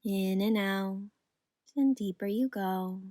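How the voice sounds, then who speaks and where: Slow, soothing woman guiding meditation. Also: medium pitch, no room sound, clean studio sound. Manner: soothing, gentle, unhurried. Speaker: meditation guide. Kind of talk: guided meditation narration.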